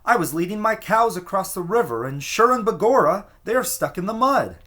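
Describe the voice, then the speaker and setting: A man's voice: expressive, fairly quick, sharp tone. A man doing a frantic little fairy man. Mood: frantic pleading. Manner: breathless pleading, high and urgent. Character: frantic tiny bearded fairy man with pointed ears.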